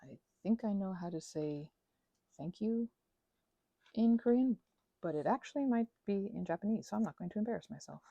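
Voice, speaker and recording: A woman's voice: medium pitch, expressive, moderate pace, creaky, no room sound, highs cut off. A woman in her thirties rambling casually and digressively, with a sarcastic, self-deprecating streak. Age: 30s. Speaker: crafting vlogger and ASMR creator.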